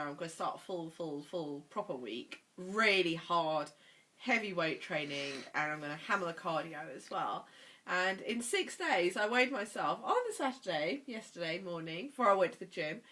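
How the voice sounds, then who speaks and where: A woman vlogging in a chatty, animated way, her voice stuffy and congested from a cold. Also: fairly low pitch. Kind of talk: casual chat.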